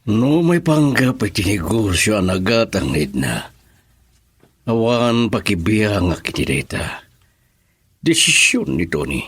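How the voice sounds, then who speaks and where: A man playing a calm, protective middle-aged father and businessman in an Ilocano radio drama. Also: lowish voice, takes it slowly, pauses often, slightly rough, no room sound, exaggerated voice. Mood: guarded.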